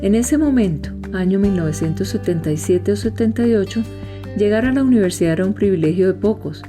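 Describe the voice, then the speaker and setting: A woman narrates a scripted podcast story in a very warm, dark voice. Her reading is measured storytelling rather than chat. Light guitar music plays behind her. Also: medium pitch, expressive.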